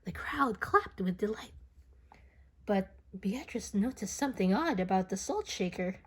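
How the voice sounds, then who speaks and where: A woman reading a picture book aloud to children in an expressive, dramatic storytelling manner. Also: mid-range voice, measured pace, husky. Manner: expressive, dramatic, with whispers and playful sound effects.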